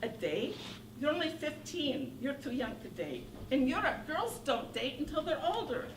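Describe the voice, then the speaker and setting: A woman's voice: high-pitched, very animated, moderate pace. A woman voicing her fretful, disapproving immigrant parent in a stage monologue, scolding and exasperated. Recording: roomy hall from a distance, noisy.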